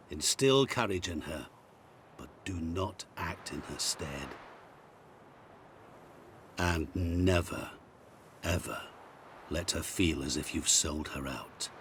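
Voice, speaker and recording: A sinister calm bargain demon type in a game, slow n deliberate, really deep, bit of rumble behind. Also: male voice, heavily acted. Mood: grave, cryptic. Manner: measured, deliberate, theatrical phrasing. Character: sinister otherworldly bargain-making man, calm, sly and knowing. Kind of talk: video game dialogue acting.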